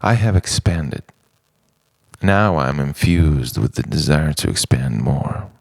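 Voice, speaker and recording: Very deep, creaky-voiced man musing poetically. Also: expressive, darkish tone, no room sound. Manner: deliberate, halting phrases with dramatic pauses, dropping at times toward a whisper. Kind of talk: introspective spoken-word monologue, poetic letter to himself.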